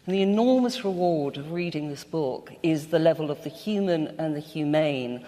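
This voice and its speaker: A woman's voice: low-pitched, animated, moderate pace, dark tone. A woman speaking as a guest in a literary panel discussion, putting her views emphatically. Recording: echoey hall with long reverb.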